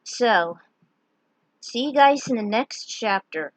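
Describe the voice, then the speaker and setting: A woman's voice: on the high side, lively intonation, slow pace, no room sound, rough recording. A woman narrating her own fan fiction, with some casual asides in her own words. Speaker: fan fiction writer and reader.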